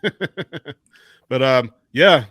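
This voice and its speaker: A man's voice: mid-range voice, lively intonation, extremely slow, a bit bright, breathy edge, dry recording, studio quality. A man co-hosting a podcast in casual, chatty conversation. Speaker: podcast co-host. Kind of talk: casual chat.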